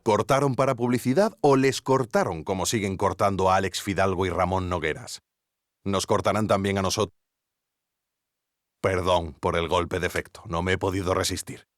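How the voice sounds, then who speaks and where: An actor playing an earnest investigative podcast narrator with a Spanish accent from Spain, theatrical, suspenseful and tongue-in-cheek. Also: male voice, sits low, moderate pace, dry recording, clean studio sound, close to an everyday voice. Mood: earnest, wry. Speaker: host. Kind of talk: scripted documentary narration.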